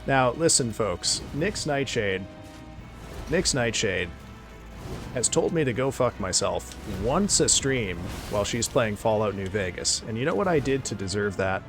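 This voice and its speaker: A man chatting casually on a live stream, excitable and thanking viewers, his voice getting hoarse. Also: mid-range voice, measured pace, music in the background. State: losing his voice.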